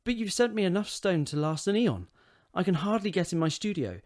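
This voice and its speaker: A man's voice: high voice, big pitch swings, a little fast, darkish tone. A man playing an affectionate, modest young sculptor of about twenty in a period audio drama. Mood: fond, self-deprecating.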